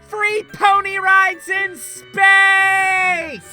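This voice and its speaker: A man's voice: extremely high pitch, little pitch movement, extremely slow, over music, exaggerated voice. A man playing a goofy, eager but weary doctor in a theatrical, exclamatory way for a game fan dub. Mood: hammy pitchman.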